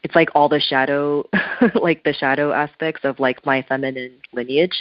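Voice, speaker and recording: A woman's voice: sits low, fairly even intonation, moderate pace, no room sound. A woman whose first language is Korean, a spiritual artist being interviewed by telephone, talking in a searching, reflective way with loose phrasing. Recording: muffled telephone line.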